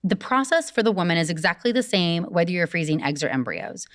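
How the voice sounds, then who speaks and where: A woman fertility doctor explaining clearly in an interview, walking through the numbers step by step. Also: fairly low pitch, sing-song, fairly quick, no room sound, studio quality.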